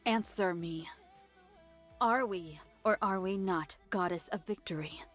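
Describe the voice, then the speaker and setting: Proud young female soldier for a game: mid-range, firm, deliberate, intense, music underneath. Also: mid-range voice, a bit bright. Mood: demanding, impassioned. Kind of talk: dramatic video game voice acting.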